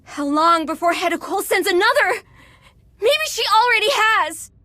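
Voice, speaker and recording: A woman's voice: extremely high pitch, animated, moderate pace, sharp tone, no room sound, full character voice. A woman playing an anxious young fugitive inventor in a video game, earnest and emotional. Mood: distraught.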